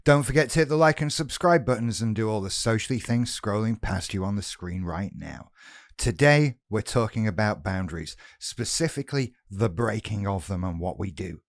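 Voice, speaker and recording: Man, British accent, head cold, rough voice, low-ish pitch, frank, dry recording. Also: expressive, normal speed, no room sound. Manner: frank and blunt, swears casually. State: has a cold, sounds rough. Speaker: mental health vlogger. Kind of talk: casual spoken monologue.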